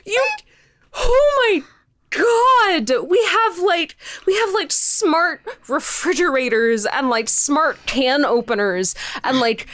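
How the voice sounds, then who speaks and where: A woman's voice: high voice, expressive, slow, fairly bright tone, no echo. A woman chatting casually as a podcast guest, ranting in a sweary, exasperated way. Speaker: podcast interview guest, audio fiction creator. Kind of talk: casual animated interview chat.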